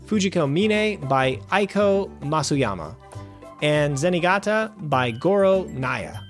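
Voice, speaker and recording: A man hosting a film review show, reading a scripted review with wry, animated asides. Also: on the high side, takes it slowly, faint music behind. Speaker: film review podcast host.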